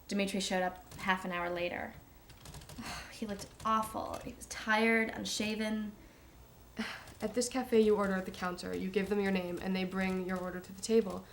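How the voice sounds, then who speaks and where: A woman's voice: medium pitch, creaky, a little hiss or hum, close to an everyday voice. An actress playing a reflective woman giving a hesitant, confiding account in a naturalistic documentary interview style. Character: reflective woman recounting meeting her secret half-brother.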